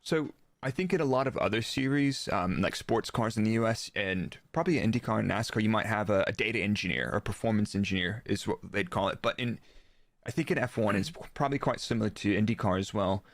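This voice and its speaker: A man's voice: lowish voice, quick pace, dry recording. A man talking casually as an interview guest, explaining technical work in the manner of an engineer. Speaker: guest, former Formula One performance engineer.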